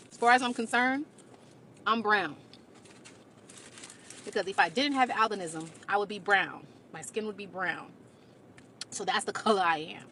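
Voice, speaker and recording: A woman's voice: mid-range voice, a bit bright. A woman telling personal stories in a candid, blunt and emphatic way. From Caribbean. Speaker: video creator. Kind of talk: candid personal storytelling to camera. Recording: faint background noise.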